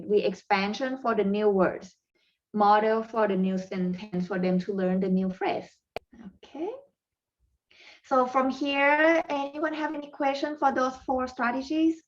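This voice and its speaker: A woman with a Thai accent, whose first language is Thai, presents parent coaching strategies in a webinar, pausing often with fillers and non-native phrasing. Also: on the high side, highs cut off.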